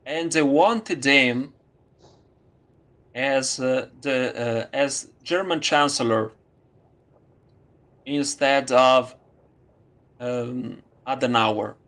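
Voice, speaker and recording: A man with an Italian accent, speaking English as a second language in a casual conversation, halting with many fillers. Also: mid-range voice, a bit bright. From Italy. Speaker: student.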